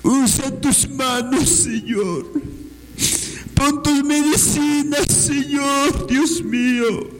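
Very high-pitched man pleading in prayer. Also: drawn-out words, soft background music. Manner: pleading, impassioned, with repeated invocations. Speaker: worshipper leading prayer. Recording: long echoing hall reverb.

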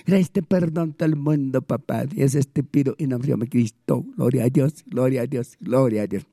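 Pleading Peruvian pastor, dark dry voice. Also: male voice, mid-range voice, moderate pace, dry recording. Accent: Peruvian Spanish. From Peru. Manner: pleading, repetitive invocations. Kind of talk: fervent spoken prayer.

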